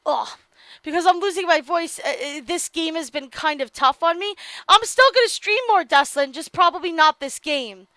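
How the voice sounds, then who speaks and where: A young woman with a high voice reads game narration aloud and slips into chatty asides to her audience. She is losing her voice after a long stream, and the sound is close and dry. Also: moderate pace, a bit bright, no room sound. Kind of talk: reading a visual novel aloud with live commentary.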